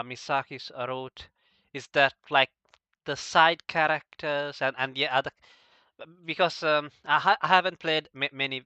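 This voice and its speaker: A man hosting a casual, unscripted discussion, asking questions in a halting way and often stumbling over his words. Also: medium pitch, pushed and bright, clean tone, dry recording, dull audio. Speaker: podcast host asking questions.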